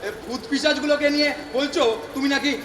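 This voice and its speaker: A man playing a stammering old god, very high-pitched. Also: little pitch movement, fast. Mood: nervous, placating. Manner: stammering, hesitant, repeats words. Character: old male creator god, nervous, placating, wily ruler of hell. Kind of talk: stage comedy acting. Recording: echoey noisy stage recording.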